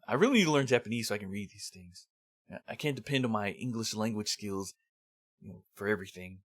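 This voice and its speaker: A man's voice: on the low side, expressive, quick pace, no room sound, clean studio sound. A man presenting a review in semi-scripted narration with casual, rambling asides. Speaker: host of a manga review series.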